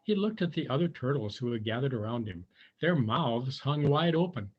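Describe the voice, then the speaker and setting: A man's voice: mid-range voice, animated, normal speed, slightly rough, highs cut off. A man reading a children's storybook aloud, chatting to his young listeners in conversational asides.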